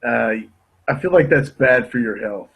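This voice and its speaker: A man's voice: medium pitch, animated, normal speed, creaky, a bit bright, slightly rough. A man from a Tennessee podcast, joining as a guest in a casual chat, laid-back and self-mocking with some swearing.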